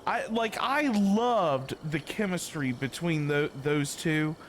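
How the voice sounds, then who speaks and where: A man doing casual live stream commentary on a game, rambling and halting as he repeats his words. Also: a little high, slight background noise. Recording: game music behind the voice.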